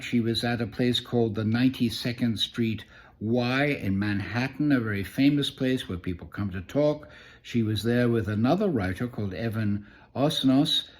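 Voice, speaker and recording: A man from New York City talks through a press review, mid-pitched and conversational. He often pauses on "uh" and circles back to restart his sentences, giving it a loose, unscripted feel. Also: a little mellow. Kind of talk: casual spoken press review.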